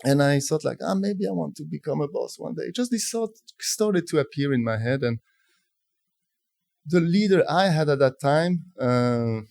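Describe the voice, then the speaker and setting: French-accented man, warm and dry. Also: fairly high pitch, expressive, creaky, dry recording. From France. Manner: thoughtful, halting, many restarts. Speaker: guest, telecom executive. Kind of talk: conversational interview storytelling.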